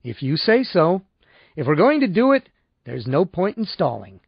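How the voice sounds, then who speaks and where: Casting: male reader as blunt, stubborn man, wry and impatient, animated, higher-pitched, audiobook. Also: moderate pace, vocal fry, slightly rough, no echo, dull audio, heavily acted. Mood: resolved. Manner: curt, sardonic.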